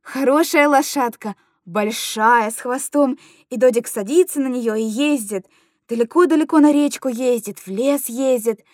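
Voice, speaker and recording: A woman's voice: high-pitched, moderate pace, darkish tone, dry recording, studio quality, lightly acted. A woman playing an anguished, tender mother who coos simple, repetitive stories to her little boy in a dramatic monologue. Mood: playful scolding. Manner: cooing, repetitive, childlike storytelling to a small child. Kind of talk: dramatic literary monologue reading.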